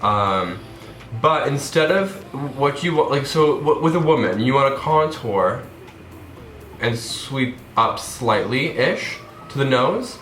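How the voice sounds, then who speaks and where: A man's voice: mid-range voice, animated, slow, fairly bright tone, soft background music. A young man with a stuffed-up nose from a cold, chatting casually through a makeup tutorial with lots of fillers. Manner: chatty, rambling, many fillers. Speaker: makeup vlogger. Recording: roomy echo.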